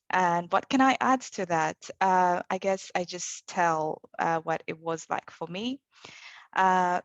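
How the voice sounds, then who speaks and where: Zambian-born woman, level measured voice. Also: medium pitch, no room sound, lo-fi sound. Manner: relaxed, many fillers, thoughtful. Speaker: panel speaker. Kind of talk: conversational talk.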